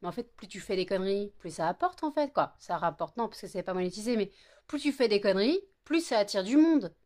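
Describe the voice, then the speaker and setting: A woman's voice: medium pitch, lively intonation, brisk, darkish tone. A woman, chatting casually in a home vlog, tired and a bit stuffy with a cold, rambling with many fillers and self-corrections. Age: 30s. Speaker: vlogger.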